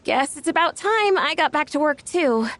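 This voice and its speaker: A woman's voice: high-pitched, expressive, moderate pace. A woman voicing an earnest young event organizer in a video game, chatty, friendly and a little flustered. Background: soft game music.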